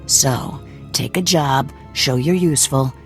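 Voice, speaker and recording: A woman's voice: extremely low pitch, takes it slowly, soft background music. An actress playing a tough, suspicious female rebel cell commander in a video game, blunt and threatening. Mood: blunt, menacing. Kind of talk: scripted video game dialogue.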